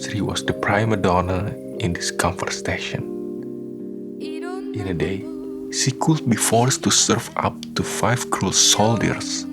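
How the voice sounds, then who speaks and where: A man narrating a scripted radio drama in a solemn, measured storytelling manner. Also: low voice.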